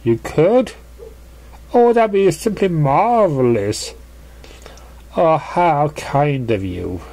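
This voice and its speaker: A reader voicing a refined, fashionable female unicorn with a genteel, drawn-out, effusive manner. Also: medium pitch, lively intonation, dark tone, rough recording. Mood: delighted.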